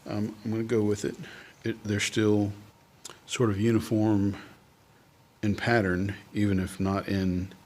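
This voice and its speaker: A man's voice: on the low side, flat delivery, measured pace, darkish tone. A man talking casually and unscripted as he demonstrates drawing, thinking aloud and trailing off as he goes. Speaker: artist hosting a drawing video.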